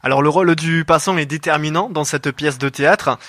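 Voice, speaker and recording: A man's voice: a little high, brisk, a bit bright, dry recording. A male radio host introducing a guest and asking questions, partly read from a script, partly with hesitant fillers. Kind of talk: radio interview. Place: radio studio.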